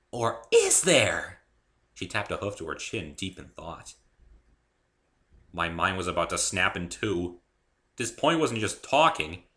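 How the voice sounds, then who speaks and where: A man's voice: deep voice, unhurried, pauses often, bright, forceful tone. A man giving a dramatic first-person reading of his own story, theatrical and emphatic.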